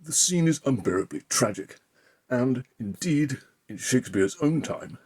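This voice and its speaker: A man reading an opinion essay aloud as an impression of a pundit, with a pompous, deliberate delivery and emphatic pauses. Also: mid-range voice, animated, a little mellow, husky. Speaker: amateur reader of a political article.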